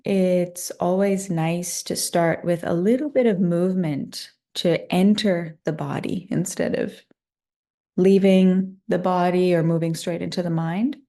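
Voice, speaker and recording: A woman leading a guided meditation in a soothing, unhurried and gently inviting manner. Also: on the low side, steady tone.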